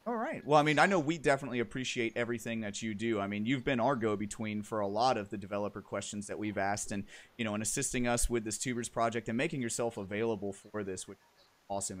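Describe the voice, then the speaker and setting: A man hosting a livestream, interviewing a guest and then calling players into custom matches in an upbeat, directive way. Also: fairly low pitch. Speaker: stream host.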